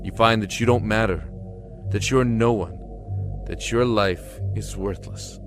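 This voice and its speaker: A male narrator delivers scripted, first-person dramatic storytelling. His voice sits fairly low, with somber and deliberate phrasing. Music plays clearly behind him throughout.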